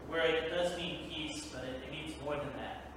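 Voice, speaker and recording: A middle-aged man preaching a sermon in a church, measured and earnest, pausing often and adding fillers. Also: fairly high pitch, fairly even intonation, background noise. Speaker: pastor. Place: church sanctuary. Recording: echoey church hall, distant mic.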